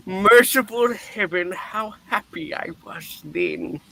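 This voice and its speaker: Man acting a melodramatic old miser, high-pitched, slow, defensive, stage-play reading. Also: gravelly, a bit bright, heavily acted, rough recording. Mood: wistful, earnest. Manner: melodramatic, exclamatory. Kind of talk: theatrical amateur play reading.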